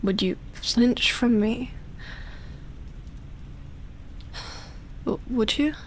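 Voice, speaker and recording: A woman voicing an ancient, lonely and seductive female vampire in an intimate ASMR roleplay, soft and breathy, with hesitant, tender delivery. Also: fairly high pitch, a little hiss or hum, lightly acted. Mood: tender, yearning. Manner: slow, hesitant, sighing delivery with long pauses.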